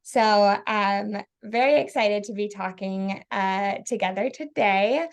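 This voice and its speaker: A woman's voice: mid-range voice, takes it slowly. A woman hosting an interview in a conversational style, representing a company.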